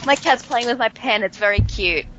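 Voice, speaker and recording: A woman's voice: on the high side, a bit bright. A woman co-hosting a podcast, chatting casually and arguing playfully, with a faint Southern twang. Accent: hints of Southern American. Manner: playful, argumentative, drawn-out emphasis. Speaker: podcast co-host. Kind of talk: casual chat. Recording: dull lo-fi podcast audio.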